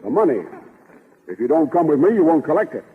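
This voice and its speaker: An actor playing a polite, eager office clerk in 1930s radio comedy, with a formal manner. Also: male voice, fairly high pitch, sing-song, fast talker, husky, in a large hall, full character voice. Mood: polite, insistent. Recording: muffled old 1930s radio recording.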